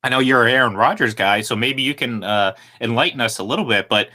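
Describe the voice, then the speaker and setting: A man hosts a live sports talk radio show, talking football with energy and laughing easily. His voice is bright and forward, at a middle pitch. He rambles through his points and restarts them often. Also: quick pace. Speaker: radio host.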